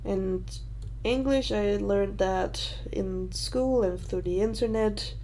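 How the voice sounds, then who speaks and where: A woman's voice: medium pitch, slow pace, mellow, slight background noise, rough recording. A young Danish woman with a Danish accent hosting a casual livestream Q&A, talking in a hesitant, rambling way with many fillers. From Denmark. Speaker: livestream host answering questions.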